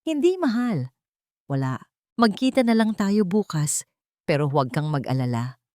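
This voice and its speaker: A woman's voice: fairly low pitch, big pitch swings, moderate pace, darkish tone, no room sound. A female storyteller plays a deceitful young man in an audiobook drama. He is nervous and evasive, hesitating and soothing to cover himself. The recording is very dry. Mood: nervous, placating. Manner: hesitant, placating, making excuses.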